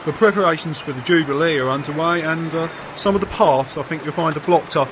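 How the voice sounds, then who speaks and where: A man's voice: fairly high pitch, brisk, close to an everyday voice. A man playing a helpful police officer, polite and deferential. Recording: street noise behind.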